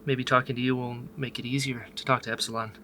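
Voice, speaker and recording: A man's voice: mid-range voice, level tone, fast, bright, forceful tone, a little hiss or hum, lightly acted. A man voicing a hesitant young rebel doctor who speaks with many fillers. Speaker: doctor Nero.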